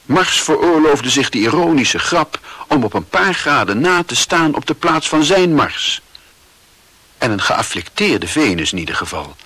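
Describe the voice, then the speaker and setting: A man narrating a literary radio drama in a measured, dramatic way. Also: fairly high pitch, big pitch swings, sharp tone, slightly rough, no echo.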